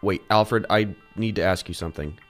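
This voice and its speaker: A man playing a rich high school boy in an audio drama, earnest and questioning in his manner. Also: moderate pace, fairly bright tone, faint music behind. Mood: troubled, questioning. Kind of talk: amateur audio drama acting.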